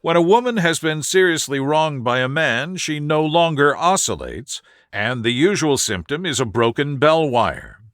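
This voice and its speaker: A man voicing a calm, shrewd, self-assured detective in an audiobook story, speaking in a precise, explanatory way. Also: on the high side, normal speed, few pauses, no echo, studio quality. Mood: analytical.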